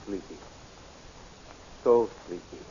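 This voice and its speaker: An actor playing a gruff subordinate guardsman in a 1930s radio drama, with a husky voice. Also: lowish voice, slow, warm tone, a little hiss or hum. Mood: drowsy, dazed. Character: gruff subordinate officer, or drowsy drugged guard. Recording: muffled old-time radio recording.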